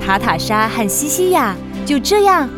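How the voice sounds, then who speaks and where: A woman's voice: fairly high pitch, very animated, normal speed. A woman narrator reading a scripted children's story. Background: music.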